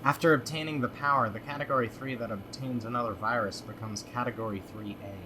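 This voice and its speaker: A man playing a matter-of-fact reader of research data, giving scripted exposition in an animated comic dub. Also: mid-range voice, quick pace, noisy background, lightly acted. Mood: informative. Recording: music behind the voice.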